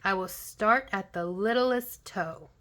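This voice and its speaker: Lady doing a grouchy hungry storybook giant, bright forceful voice, booming chants about his next meal. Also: takes it slowly. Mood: loud, gloating. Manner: booming chants, relishing threats in rhyme. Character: grumpy old hungry child-eating male giant.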